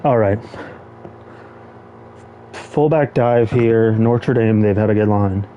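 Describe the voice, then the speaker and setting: A young man streaming a football video game, commenting excitedly and talking to the players on screen. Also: fairly low pitch, takes it slowly, lo-fi sound, a little hiss or hum. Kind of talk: live gameplay commentary.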